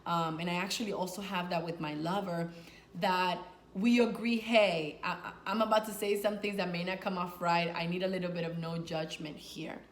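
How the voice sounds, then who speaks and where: A woman's voice: fairly low pitch, fairly even intonation, moderate pace. A Dominican woman from New York, a life coach, gives conversational, earnest advice to camera with informal phrasing and emphasis on key words. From Dominican, grew up in New York. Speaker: sex and life coach, video host. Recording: echoey room with faint noise.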